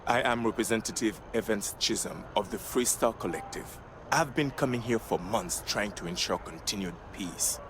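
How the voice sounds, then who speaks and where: An actor playing a formal, flustered and exasperated diplomat in video game dialogue. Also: male voice, lowish voice, noisy background, lightly acted. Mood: indignant. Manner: formal wording, exasperated, halting.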